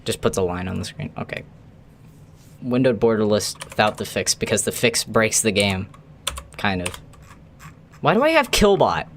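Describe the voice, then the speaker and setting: A man's voice: fairly low pitch, sing-song, normal speed, pauses often, fairly bright tone, slight background noise. A man streaming a video game, talking casually to his chat in a chatty, rambling way with the odd swear. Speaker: gaming streamer. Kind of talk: casual live-stream commentary while playing.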